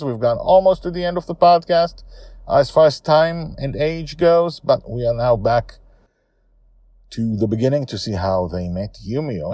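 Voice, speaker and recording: Husky, animated man hosting a story podcast. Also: medium pitch, dry recording. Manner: animated, emphatic, self-correcting. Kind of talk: informal spoken commentary on his own serial story.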